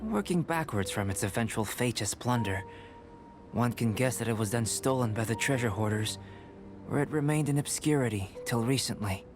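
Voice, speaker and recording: An actor voicing a calm, scholarly young male alchemist in a video game, speaking in a measured, formal, analytical way. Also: mid-range voice, music in the background, lightly acted. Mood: explaining calmly. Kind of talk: video game dialogue acting.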